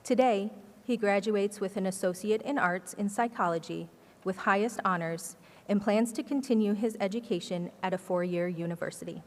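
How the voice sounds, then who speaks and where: A woman's voice: mid-range voice, moderate pace. A woman presenting at a graduation ceremony, formally introducing the next speaker. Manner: formal, announcing. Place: large ceremony hall. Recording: long hall reverb.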